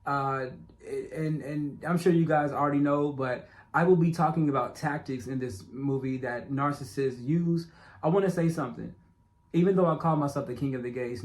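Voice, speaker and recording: A man with a stutter and a speech impediment, narrating his own documentary as a casual, emphatic monologue aimed at his viewers, often repeating himself. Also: mid-range voice. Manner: repetitive, emphatic, talks straight to viewers. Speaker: self-made documentary narrator. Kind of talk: casual direct-address monologue.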